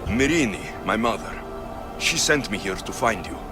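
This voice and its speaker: An actor playing a determined young warrior, blunt, earnest and insistent, in a dramatic video game cutscene. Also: male voice, lowish voice, animated, normal speed, over loud music, lightly acted. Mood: wary, puzzled.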